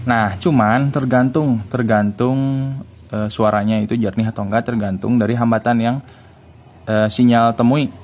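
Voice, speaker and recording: A man's voice: medium pitch, steady tone, normal speed, darkish tone. Man demonstrating gear, noisy recording. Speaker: product reviewer. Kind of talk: casual spoken product demonstration.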